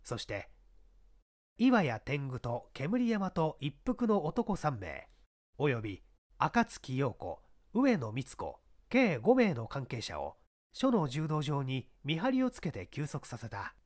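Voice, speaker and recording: A man narrating a literary mystery audiobook in a deliberate, theatrical way. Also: on the high side, huge pitch swings, darkish tone.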